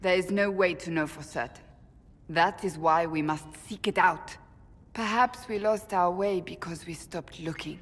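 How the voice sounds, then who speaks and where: An actress playing a stern, devout woman warrior in a video game cutscene, speaking gravely and formally. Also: lowish voice. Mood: earnest resolve. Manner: grave, formal, deliberate phrasing. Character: stern, devout woman warrior of a holy order, troubled and earnest. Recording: reverberant hall, faint background noise.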